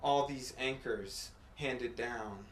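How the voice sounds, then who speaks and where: A man reading poetry aloud in a measured, deliberate way, with pauses between short phrases. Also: mid-range voice. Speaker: poet reading own work. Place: apartment room at a live reading. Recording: echoey room, faint background noise.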